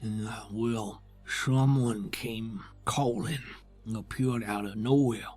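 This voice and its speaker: A man playing a weary, protective, tentacled creature in a tabletop roleplay, with halting, folksy, plainspoken speech. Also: lowish voice, fairly even intonation, darkish tone, husky, soft background music. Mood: weary, uneasy.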